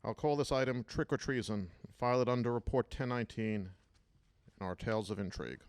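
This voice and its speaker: A man's voice: mid-range voice, fairly quick, clean tone, no echo, close to an everyday voice. A man playing a dutiful, wry investigator answering to his boss in an audio drama. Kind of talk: scripted audio drama acting.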